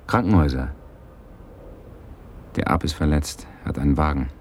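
Male investigator for radio crime drama: deep bass register, clipped, pressing, some background noise. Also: normal speed. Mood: terse, urgent. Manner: clipped, terse. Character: terse, insistent male police investigator. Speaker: police detective.

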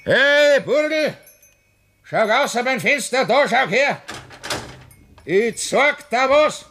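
Boisterous Bavarian country carter, swooping pitch. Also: male voice, expressive, sharp tone, slight background noise, heavily acted. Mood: hollering, boisterous. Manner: unhurried, obstinate, earthy. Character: stubborn, boisterous, schnapps-loving country carter. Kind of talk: 1960s German radio play acting.

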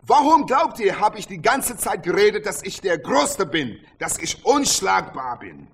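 An actor playing a proud, defiant boxing champion who preaches emphatically in a radio play, with repeated, sermon-like phrases. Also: male voice, sits high, sing-song, measured pace, creaky, fairly bright tone, slight background noise, exaggerated voice. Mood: proud, rousing. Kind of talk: fiery oratory in a German radio play.

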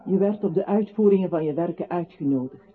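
High-voiced, lyrical male radio narrator. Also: fairly quick, slightly rough. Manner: lyrical, recitative, articulate. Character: earnest, lyrical male narrator recounting a composer's life. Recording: thin phone-like radio sound, faint music.

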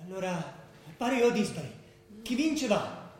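A man's voice: high voice, lively intonation, measured pace, darkish tone. An actor playing a terse young male accomplice in dialogue from a stage radio drama. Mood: urgent. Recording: very echoey stage recording.

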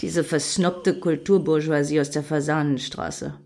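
A woman's voice: sits low, steady tone, mellow. A grandly solemn scheming woman. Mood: brooding. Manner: theatrical, deliberate, lofty phrasing. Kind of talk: satirical German radio play acting.

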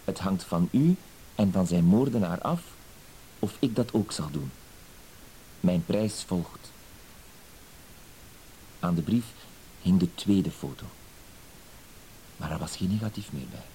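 Mellow, timid, pleading husband, hissy recording. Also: male voice, low-pitched, lively intonation, takes it slowly, long pauses, full character voice, poor audio. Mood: earnest, confiding. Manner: apologetic, hesitant, wordy, polite. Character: timid, pleading, dutiful cuckolded husband, wounded dignity. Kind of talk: Dutch-language radio play acting. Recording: hissy old radio play recording.

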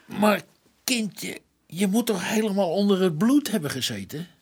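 A man's voice: high-pitched, expressive, a little slow, slightly rough, full character voice. An actor playing a sceptical, informal police detective in a Dutch radio play, questioning what he hears.

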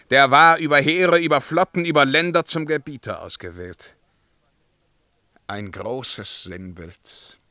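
Imperious, brooding emperor in bright, forceful voice. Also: male voice, mid-range voice, dry recording, clean studio sound. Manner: declamatory, swinging between command and hushed brooding. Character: imperious, brooding emperor, grand and self-tormenting. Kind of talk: German radio play verse acting.

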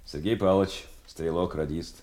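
An actor playing an ageing, genial but exacting war veteran turned teacher in an audio drama, with a hearty, wry manner. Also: male voice, deep voice, steady tone, normal speed, a little mellow, natural voice. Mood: wry, fond. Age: older.

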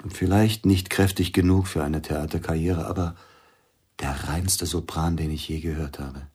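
A grieving widowed pianist. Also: male voice, rumbling low, fairly even intonation, normal speed, a little mellow, slightly rough. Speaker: actor in radio play. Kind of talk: radio drama acting.

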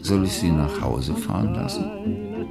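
A man's voice: deep voice, wildly swooping pitch, unhurried, vocal fry, loud music behind the voice, exaggerated voice. An actor playing a carefree, self-assured man who flirts and teases in a casual way in a radio drama. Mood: relaxed, flirtatious.

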